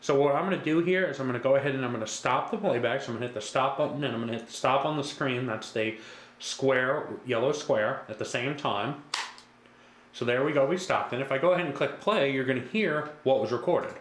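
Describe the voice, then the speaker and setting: A man giving a casual, reassuring step-by-step software tutorial as a product demonstrator. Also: mid-range voice, a little fast. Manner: casual, repetitive, reassuring instructor. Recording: echoey room with faint background noise.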